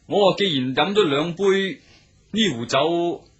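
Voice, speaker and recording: A man's voice: fairly high pitch, a little slow, lo-fi sound, natural voice. A man playing an eager, deferential innkeeper in a radio drama, chatty and full of small interjections, with a Hong Kong Cantonese accent. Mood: placating. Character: eager, talkative, obliging male innkeeper serving travellers.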